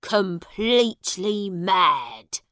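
A woman doing a loud, scolding angry father. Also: mid-range voice, big pitch swings, drawn-out words, dry recording, clean studio sound, exaggerated voice. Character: big angry grown man, gruff beggar's father.

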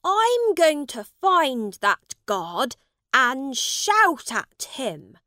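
A woman voicing a lordly, eager young English prince in a storybook, speaking emphatically with drawn-out words. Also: sits high, very animated, fairly bright tone, no echo, clean studio sound, voice pushed up, full character voice. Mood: concerned, commanding. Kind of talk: storybook character voice.

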